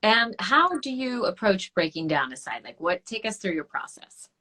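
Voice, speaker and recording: A woman's voice: mid-range voice, big pitch swings, normal speed, pushed and bright. A woman hosting a podcast interview, chatting casually and asking follow-up questions. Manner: conversational, asks follow-up questions. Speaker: podcast host.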